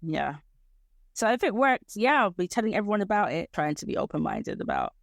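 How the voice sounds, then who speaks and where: A woman's voice: mid-range voice, big pitch swings, fairly quick, creaky, dry recording, studio quality. A woman co-hosting a podcast, chatting casually with hesitant restarts. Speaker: podcast co-host. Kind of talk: casual chat.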